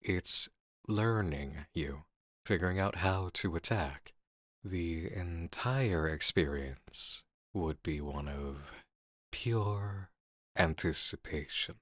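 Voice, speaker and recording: An actor playing a composed, cerebral male coworker in an ASMR roleplay drama, speaking persuasively with deliberate pauses. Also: low voice, a bit bright, no echo, clean studio sound, exaggerated voice. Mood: intense, persuasive.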